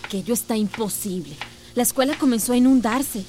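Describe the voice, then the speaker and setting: A woman's voice: a little high, fairly quick, a little mellow, close to an everyday voice. A woman acting an earnest, impatient schoolteacher in a radio drama. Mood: exasperated, firm. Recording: faint background noise.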